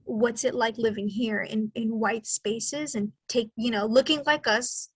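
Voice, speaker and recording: A woman's voice: fairly high pitch, fairly even intonation, measured pace, husky, no room sound. A woman in her twenties co-hosting a podcast, talking in a reflective, rambling way with many fillers. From Mexican American. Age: 20s. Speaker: podcast co-host. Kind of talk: casual conversation.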